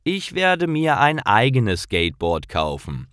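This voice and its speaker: A man voicing Paul, an eager but unsure young man in a learner's story, with brightly emphatic dialogue. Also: mid-range voice, wildly swooping pitch, slow, no room sound, studio quality, pitched above the performer's own voice, full character voice. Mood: plaintive.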